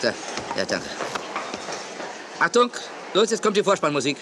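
A man's voice: fairly high pitch, huge pitch swings, moderate pace, noisy background. An actor playing a fussy, nervous male show director who stammers and repeats words in a children's audio drama. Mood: flustered, nervous.

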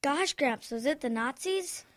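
A curious young grandson, voiced by a woman, asking questions in a high, childlike voice. The style is radio drama. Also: lively intonation, a little slow, a bit bright, dry recording, studio quality.

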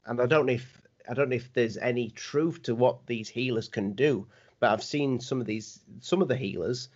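A man's voice: mid-range voice, moderate pace. A man in his thirties hosting a casual video-call interview, asking chatty, rambling questions. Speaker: host. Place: home room indoors. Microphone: webcam on video call. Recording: dull lo-fi video call audio.

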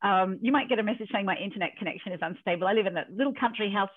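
A woman in her fifties from New Zealand, a leadership coach telling her story in a relaxed video call interview from her home, often quoting what she and others said. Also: mid-range voice, racing, breathy edge. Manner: storytelling, chatty, quotes herself. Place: home office in a country house. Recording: tinny video call audio.

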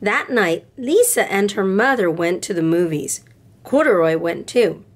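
Slow, animated woman reading a storybook. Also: medium pitch. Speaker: storybook reader for children. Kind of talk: read-aloud narration of a picture book.

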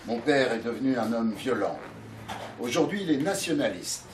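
A man's voice: medium pitch, animated, moderate pace, far from the mic, a little hiss or hum. Man reading aloud in an echoey shop.

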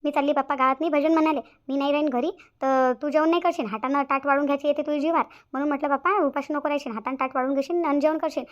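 A woman's voice: high-pitched, fairly even intonation, rapid-fire, hardly any pauses, dull audio, close to an everyday voice. A woman voicing a fussy, nagging middle-aged village mother in a cartoon, repeating herself in a rural Maharashtrian accent. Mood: fussing. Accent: rural Maharashtrian Marathi. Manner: nagging, repetitive. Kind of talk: cartoon comedy dialogue.

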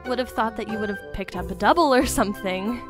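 A woman's voice: a little high, fast, close to an everyday voice. A woman voicing a scheming, smug stepmother in a game roleplay, mocking and laughing at others. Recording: music playing behind.

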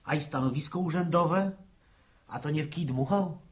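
An actor in a 1960s Polish radio drama playing a joking young farm son, playful and wheedling. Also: male voice, a little high, normal speed, close to an everyday voice. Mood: coaxing. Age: young adult.

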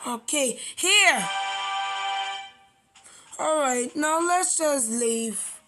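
A woman's voice: high-pitched, expressive, drawn-out words, loud music behind the voice. A woman improvising an amateur cartoon voice-over, narrating small actions to herself.

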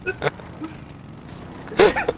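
A man's voice: very slow, a crowd in the background, poor audio. A young man chatting and joking with a friend while gaming, crude and giggly, often slipping into mock-polite talk. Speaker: gamer playing with a friend. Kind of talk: casual gaming banter.

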